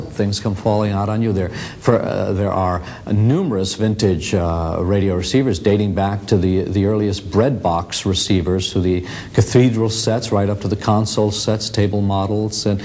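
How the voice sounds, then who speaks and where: A man giving an informal explanation in an interview, hesitantly listing things with halting pauses. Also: lowish voice, fairly even intonation, darkish tone.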